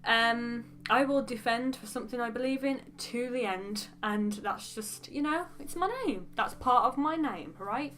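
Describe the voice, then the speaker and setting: A woman's voice: fairly high pitch, measured pace, hardly any pauses, fairly bright tone, a little hiss or hum. A young woman from the English Midlands with a West Midlands accent, chatting frankly and rambling with asides in a casual get-to-know-me vlog. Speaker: beauty vlogger.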